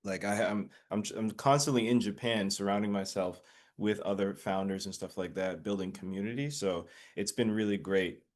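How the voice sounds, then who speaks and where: A man in his thirties, a podcast guest, talking in a relaxed way with lots of fillers and tag questions. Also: fairly low pitch, normal speed. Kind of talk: casual conversation.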